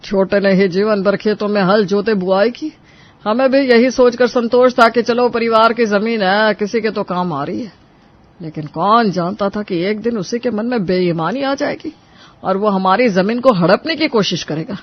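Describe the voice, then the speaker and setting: A man acts out a stubborn older father-in-law in a family cartoon tale. He is high-voiced and emphatic with a folksy turn of phrase, on a slightly dull track. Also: normal speed. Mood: reflective. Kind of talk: Hindi moral cartoon story acting. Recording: dull, band-limited track.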